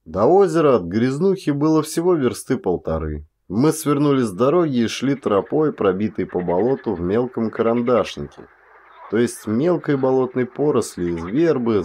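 A man reading an audiobook as an actor, telling a literary story in a measured, expressive way. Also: mid-range voice, a little hiss or hum.

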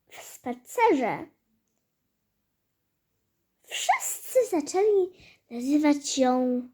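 A woman storyteller narrating a fairy tale in a halting, hesitant way, with stumbles and repeated phrases. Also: high voice, dark tone. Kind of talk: fairy-tale storytelling for a hobby audio play.

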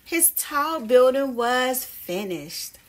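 Slow, high-voiced woman reading a storybook. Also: low-quality recording. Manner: slow, deliberate, animated storytelling for young listeners. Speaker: teacher reading picture books aloud to children. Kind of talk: expressive children's storybook read-aloud.

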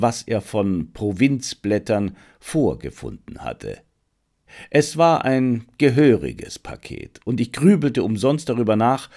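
Slow, animated male audiobook narrator. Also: mid-range voice. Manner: expressive, deliberate storytelling. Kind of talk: dramatic scripted narration of a detective story.

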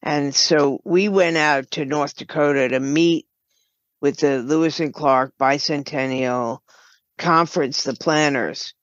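Female podcast guest, very slow and halting delivery, dull lo-fi sound. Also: low voice, no echo. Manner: halting, with broken-off sentences. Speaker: guest, writer and audio drama producer. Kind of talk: podcast interview, explaining her work.

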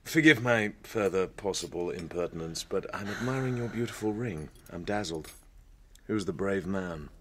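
An actor with an English accent playing a suave, cool British secret agent in a radio drama. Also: male voice, deep voice, moderate pace, slight background noise, lightly acted. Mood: suave, flirtatious.

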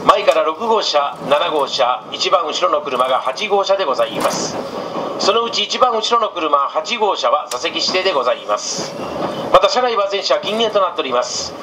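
A man's voice: fairly high pitch, animated, moderate pace. A man working as a train conductor, making polite, formulaic public announcements over the train's speakers. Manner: polite, formulaic, reciting station lists. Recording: train PA with clear background noise.

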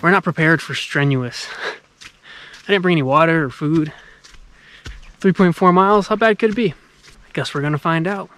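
A man's voice: fairly high pitch, normal speed, long pauses, noisy background. A young man chatting easily as a travel vlogger, filming himself with a handheld camera on a mountain trail and in a camper van. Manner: easygoing, chatty, self-mocking. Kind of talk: casual travel vlog narration. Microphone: handheld camera at arm's length.

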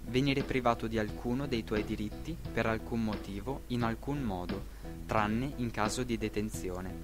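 A man's voice: lowish voice, close to an everyday voice. A man playing an impersonal talking alarm clock that formally recites a regime's announcements in a radio drama.

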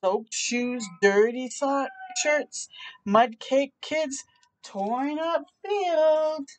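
A middle-aged woman with a high-pitched voice reads a picture book to children. Her pace is unhurried, with expressive exclamations and chatty asides to young listeners. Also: low-quality recording. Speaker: children's storytime host. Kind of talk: animated read-aloud with chatty asides for children. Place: outdoor balcony near a park.

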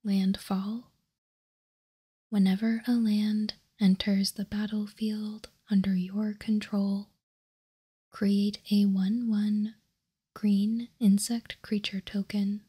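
A woman whispering softly and breathily in an ASMR style, close to the microphone, reading out and commenting in a careful, deliberate way. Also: medium pitch, little pitch movement, slightly rough. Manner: slow, soft, deliberate delivery with careful pauses. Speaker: host of a trading card ASMR channel.

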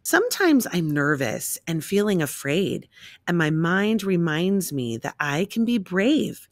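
A woman reading a rhyming children's picture book aloud in an expressive, measured storytelling manner. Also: lowish voice, no echo, studio quality.

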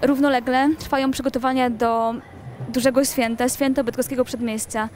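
High-voiced young woman interviewer. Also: level tone, normal speed. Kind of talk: presenter questions. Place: shopping mall seating area, indoors. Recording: faint mall noise behind.

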